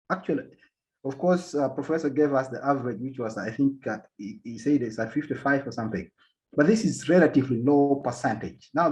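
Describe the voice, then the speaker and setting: A man from Uganda giving an academic policy talk as a panel speaker, halting often and repeating words as he restarts his sentences. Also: medium pitch, darkish tone. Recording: dull lo-fi sound.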